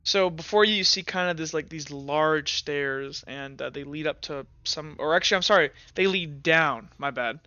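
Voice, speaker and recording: A man running a tabletop game as game master, narrating scenes with many pauses and restarts. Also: fairly high pitch, sharp tone, smooth, lo-fi sound. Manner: hesitant, full of ums and restarts.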